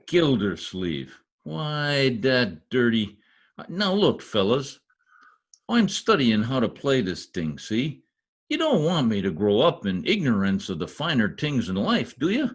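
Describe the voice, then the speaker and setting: Blustering radio-comedy husband, played by a man, swooping pitch. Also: wildly swooping pitch, unhurried. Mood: pleading, indignant. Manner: folksy, slangy, exclamatory. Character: blustering, stubborn small-town husband, comic and indignant. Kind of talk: old-time radio comedy acting.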